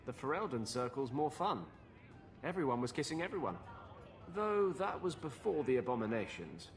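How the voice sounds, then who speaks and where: An actor playing a blunt, wry mage companion in a video game, matter-of-fact in delivery. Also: male voice, mid-range voice, big pitch swings, moderate pace, soft background music.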